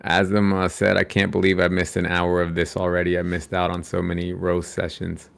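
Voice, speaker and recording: Low gravelly dude on a podcast, kinda flat, cracks jokes and pushes crypto tips. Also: male voice, a little fast. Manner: joking, plugs his own channel, gives crypto advice. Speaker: podcast guest. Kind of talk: casual livestream podcast chat.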